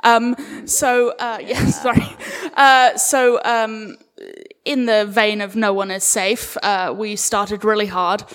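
A woman chatting casually as a guest at a live interview, jokey, informal and halting as she explains her work. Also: medium pitch, fairly even intonation. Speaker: guest, audio fiction creator. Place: live event room with audience.

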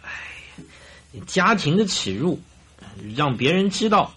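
An actor playing a stiff, uneasy father in his forties in a Mandarin radio drama, raspy, courteous and halting. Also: high voice, sing-song, bright, forceful tone, exaggerated voice. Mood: embarrassed. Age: 40s.

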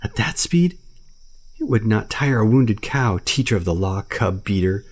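A man's voice: lowish voice, expressive, pitched above the performer's own voice. A man doing a proud scolding panther. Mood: scornful. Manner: impatient, scolding, slow.

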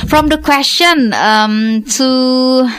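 A woman's voice: high voice, drawn-out words, a bit bright, clean tone. A woman hosting a radio talk show, repeating and rephrasing her questions.

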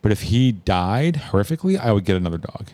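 A man chatting casually with a dry, deadpan manner. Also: on the low side, quick pace, no echo. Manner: dry, deadpan, lots of short agreements. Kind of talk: casual chat.

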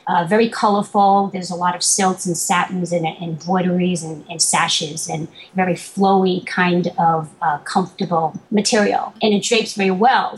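Thoughtful young woman, halting. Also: on the low side, rough recording. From Boston, United States. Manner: thoughtful, many fillers and restarts. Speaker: interview guest, martial artist. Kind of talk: casual interview talk.

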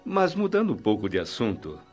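A man's voice: on the low side, brisk. An actor playing a genial, hospitable married man in a radio drama, with a friendly manner and a Brazilian accent. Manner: friendly, animated. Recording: dull radio-drama recording with music.

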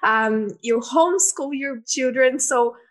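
High-voiced woman podcast host. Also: takes it slowly, fairly bright tone. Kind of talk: podcast interview.

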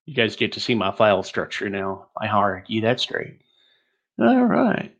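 A man's voice: on the low side, wildly swooping pitch, normal speed, fairly bright tone. A man from Texas presenting an online teaching webinar in a rambling, joking, self-deprecating way.

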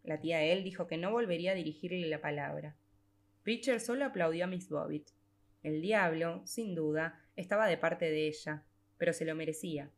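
A woman reading a literary short story aloud as an audiobook narrator, in a measured storytelling manner with drawn-out phrases. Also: low voice.

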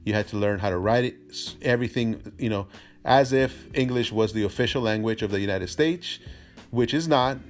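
A man's voice: on the low side, normal speed. Native Spanish-speaking man talking over music. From immigrant to the US from a Spanish-speaking country. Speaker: real estate agent presenting a series. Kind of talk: informal explanatory talk to listeners.

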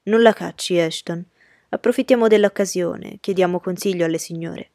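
Genial colonel, read by a female narrator: courteous, decisive, storybook gentleman, dry close sound. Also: fairly low pitch, moderate pace, no echo, lightly acted.

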